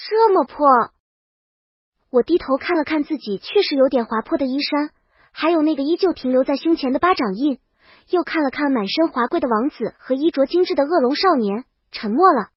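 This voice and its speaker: A woman's voice: high-pitched, expressive, a little fast, dry recording, highs cut off. A woman reading a scripted first-person comic story as a princess, with dramatic, wry delivery. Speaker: narrator.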